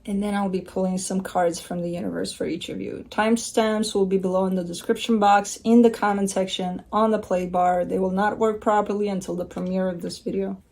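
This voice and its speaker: Gravelly-voiced woman. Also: medium pitch, fairly even intonation, brisk, few pauses, darkish tone. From Romania. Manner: chatty, rambling, blunt asides. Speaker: tarot reader. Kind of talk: casual tarot reading. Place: home by a window with a bird feeder.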